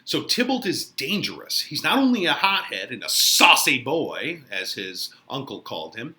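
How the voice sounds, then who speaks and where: A man's voice: on the high side, pushed and bright. A man giving informal, conversational lesson commentary as a literature teacher, explaining with emphasis.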